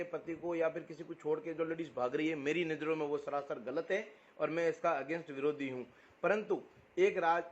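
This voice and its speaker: A man with a Rajasthani accent arguing on a phone call, explaining insistently and repeating his phrases. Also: on the high side. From Rajasthan, India. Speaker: community activist on a phone call. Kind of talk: argumentative phone conversation. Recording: muffled lo-fi phone call recording.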